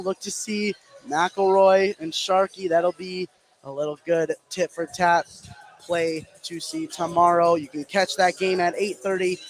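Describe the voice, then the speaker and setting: A man doing live play-by-play basketball commentary for radio from a high school gym. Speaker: play-by-play sports announcer.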